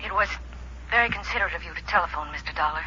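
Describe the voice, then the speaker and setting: An actress playing a grieving, fragile widow in 1950s radio style, hushed, halting and polite through a telephone filter. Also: female voice, lowish voice. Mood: grieving, subdued. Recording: thin, hissy 1950s radio phone line.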